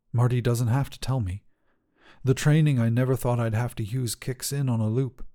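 A man narrating first-person fiction as a desperate, oxygen-starved astronaut, in deliberate, intense, measured phrases. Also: medium pitch, warm tone. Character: desperate oxygen-starved astronaut telling his own story. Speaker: story narrator.

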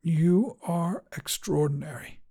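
Man voicing a weak, raspy dying father. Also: on the high side, slow, warm tone, voice pushed up, heavily acted. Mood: tender, labored. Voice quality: labored, wheezing, raspy. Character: dying father in hospital, weak, loving, regretful old man.

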